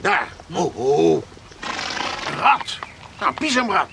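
An actor playing a gruff older farmer in a radio drama, with a creaky, forceful voice and a blunt, earthy manner. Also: male voice, high voice, wildly swooping pitch, drawn-out words, background noise, full character voice. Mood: grumbling.

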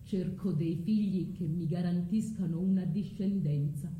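A woman voicing Aegeus, a weary aging man seeking heirs, in grave, drawn-out theatrical speech. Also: lowish voice, warm tone, a little hiss or hum, voice pushed down, close to an everyday voice. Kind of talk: theatrical monologue. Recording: roomy stage sound with music.

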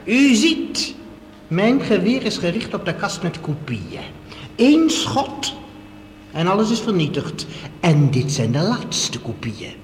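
High-voiced, defiant professor in echoing hall. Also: male voice, big pitch swings, slow pace, exaggerated voice. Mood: defiant, threatening. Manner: theatrical, taunting, mock-courteous. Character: defiant, threatening professor holding archive tapes hostage. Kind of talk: radio play acting. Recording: echoey reverberant hall, faint hum.

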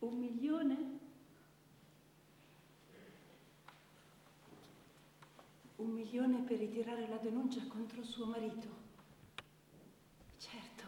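An actress playing a wounded, bitter young woman in a halting, deliberate theatre monologue. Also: fairly high pitch, fairly even intonation, dark tone, distant mic, close to an everyday voice. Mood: bitter, measured. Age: young adult. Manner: halting, deliberate, repeating words, with long pauses. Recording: echoey theatre hall from afar, noisy.

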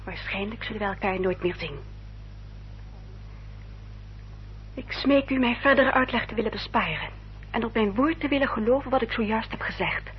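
An actress playing a sorrowful, resigned young gentlewoman, speaking formally and earnestly in a radio play. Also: on the high side, animated, a little fast, natural voice. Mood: grave, resolute. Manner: formal, earnest, self-sacrificing. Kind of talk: Dutch radio play acting. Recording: dull, noisy old radio recording.

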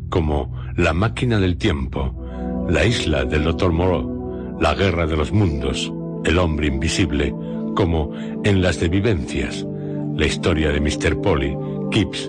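Very deep-voiced Spanish radio presenter. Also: male voice. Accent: Castilian Spanish. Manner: formal, measured. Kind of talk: scripted literary introduction. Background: music.

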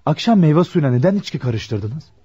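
A man's voice: on the high side, very animated, moderate pace, dark tone, husky. An actor playing a troubled, proud and morally strict young working man in a radio play, earnest and brooding. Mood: reproachful. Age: young adult. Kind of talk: Turkish radio theatre acting. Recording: dull lo-fi radio drama recording.

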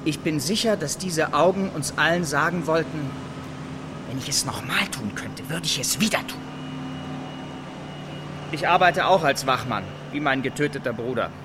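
A man playing an earnest, bereaved security guard giving a halting public witness statement in a radio drama. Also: a little high, noisy background, natural voice. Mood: solemn, bitter.

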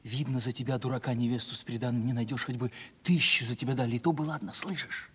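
An actor playing a lovelorn young merchant's son, agitated and folksy, in a radio stage play. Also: male voice, medium pitch, steady tone, fast talker, husky, lightly acted. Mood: anxious, forlorn. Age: young adult. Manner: plain-spoken, folksy, agitated. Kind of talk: 1970s Russian stage play radio acting. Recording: reverberant hall, old radio drama.

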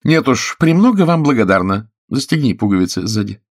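Casting: male narrator as a theatrical, spiteful woman of the stage, raised pitch, lively swings, audiobook dialogue. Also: a little fast, no room sound, clean studio sound. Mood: biting, ironic. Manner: spiteful, ironic, melodramatic. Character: haughty, shrill actress woman, venomous and theatrical.

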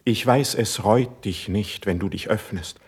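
An ardent, husky young court poet. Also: male voice, lowish voice, a little slow, exaggerated voice. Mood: ardent, pleading. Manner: fervent, lofty, declamatory delivery. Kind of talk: classical German verse stage acting for radio play.

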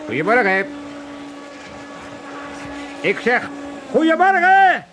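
A man's voice: very high voice, wildly swooping pitch, slow, noisy background, heavily acted. A male actor playing a scrounging old pensioner father, needling and blustering in a radio comedy. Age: older. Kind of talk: 1980s comedy radio drama acting.

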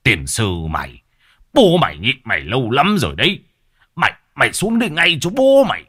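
A man voicing a hot-tempered older village father who shouts and scolds. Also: mid-range voice, huge pitch swings, brisk, husky, voice pushed up, heavily acted. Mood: furious.

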